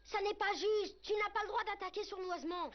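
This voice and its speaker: A woman's voice: extremely high pitch, fairly even intonation, a little fast. A woman voicing a stubborn, earnest little jungle boy in a dubbed cartoon. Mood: annoyed. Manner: pleading, insistent, earnest. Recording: muffled cartoon soundtrack with music.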